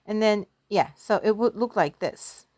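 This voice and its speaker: A woman's voice: mid-range voice, measured pace, darkish tone, dry recording. A woman giving a casual lesson through storytelling, chatty and self-deprecating, often thinking aloud as a teacher. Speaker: Korean language teacher.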